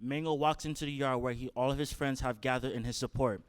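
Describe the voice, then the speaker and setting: A man's voice: medium pitch, fairly even intonation, fast talker, clean tone. A man reading out stage directions as scripted narration in a staged play reading.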